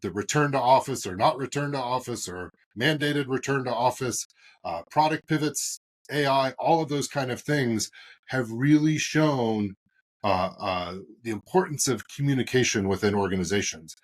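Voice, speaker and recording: A man in his forties hosting a business podcast, talking in a rambling way with lots of fillers and animated emphasis. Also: medium pitch, moderate pace. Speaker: podcast host and moderator.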